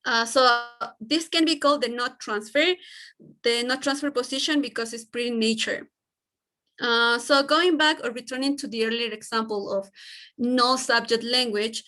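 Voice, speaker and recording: High bright woman, halting presenter. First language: Spanish. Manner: hesitant, many fillers and restarts. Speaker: student presenter. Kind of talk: class presentation on language learning.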